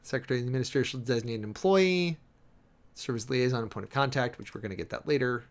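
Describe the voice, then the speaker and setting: A man reading a document aloud and commenting on it as a streamer, with wry, sardonic asides and delivery that shifts between halting emphasis and rapid reading. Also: medium pitch. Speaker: streamer and preacher giving commentary.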